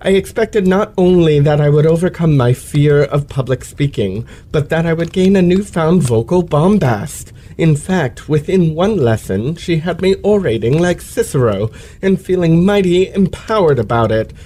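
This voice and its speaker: A man's voice: on the high side, lively intonation, moderate pace, few pauses, warm tone, slight background noise, lightly acted. A man playing a pompous, self-pitying male lecturer with florid, precise elocution in an old-fashioned radio play. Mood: earnest, self-important.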